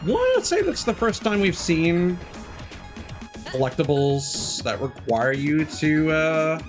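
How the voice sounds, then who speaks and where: A man's voice: fairly high pitch, sing-song, slow. A man giving casual live gameplay commentary as a streamer, thinking aloud in loose, candid asides. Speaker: game streamer. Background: game music.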